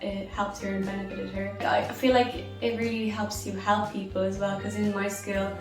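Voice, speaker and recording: Irish teen girl interviewee, very even pitch, casual and rambling, distant roomy sound. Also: moderate pace. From Ireland. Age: teens. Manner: chatty, lots of "like" fillers. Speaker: former student interviewee. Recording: echoey room, distant mic, music underneath.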